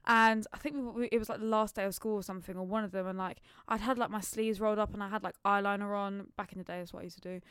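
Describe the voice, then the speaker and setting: A woman's voice: medium pitch, clean tone, no echo, studio quality. A young adult British woman chatting casually as a podcast co-host, telling anecdotes with slangy, sweary, acted-out quotes.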